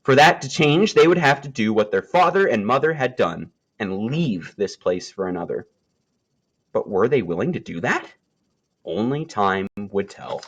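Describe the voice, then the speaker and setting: A man reading a children's nature story aloud, with expressive storytelling delivery and deliberate phrasing. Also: lowish voice, dull audio. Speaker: storybook reader.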